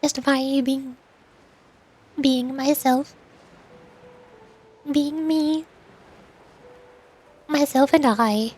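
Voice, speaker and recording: A woman's voice: high-pitched, steady tone, extremely slow, pauses often, darkish tone. A woman streamer chatting in a playful, rambling way during a casual gaming livestream. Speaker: streamer, VTuber. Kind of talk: casual gaming livestream chat with voiced dialogue.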